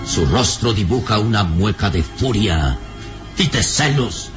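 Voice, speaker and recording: A man's voice: on the low side, expressive, measured pace, over music. A man narrating a radio serial from a script in a grave, suspenseful, theatrical manner.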